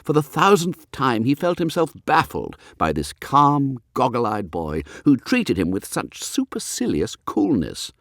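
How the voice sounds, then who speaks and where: A man reading a comic novel as an audiobook, in expressive scripted narration. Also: medium pitch, unhurried, hardly any pauses, dry recording, clean studio sound.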